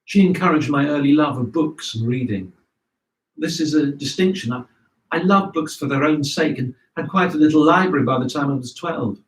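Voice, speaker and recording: A man reading a scripted memoir aloud in a measured storytelling way. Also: on the high side. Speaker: reader of memoirs. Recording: roomy echo, distant microphone.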